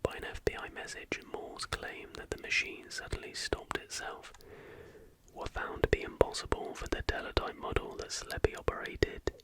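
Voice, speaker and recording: A man narrating in an unvoiced, breathy whisper as the softly spoken host of an ASMR channel. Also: moderate pace, dry recording.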